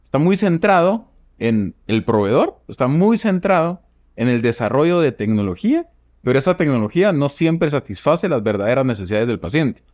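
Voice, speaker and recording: Guatemalan man, surgeon guest; reflective, hesitant delivery, animated pitch, dry close-miked sound. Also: no room sound. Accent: Guatemalan Spanish. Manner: reflective, measured, with frequent fillers. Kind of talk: podcast interview.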